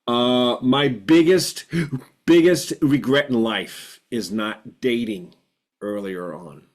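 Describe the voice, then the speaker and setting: A man's voice: mid-range voice, slow. A man in his fifties who stutters, sharing earnestly and reflectively in a group video call, repeating words for emphasis. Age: 50s. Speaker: support group participant.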